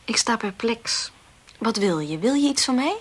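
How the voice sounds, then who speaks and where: Young woman on guard, radio drama, high voice, jumps around in pitch, curt and indignant. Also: animated, moderate pace, slightly rough. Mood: alarmed, wary. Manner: curt, challenging. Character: wary, indignant young single woman answering phone. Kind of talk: radio play dialogue.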